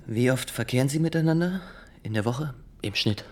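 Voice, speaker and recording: An actor in a German radio drama playing a formal, zealous nineteen-year-old state inspector who questions insistently and haltingly. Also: male voice, mid-range voice, lively intonation, close to an everyday voice. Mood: clinical, insistent. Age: young adult.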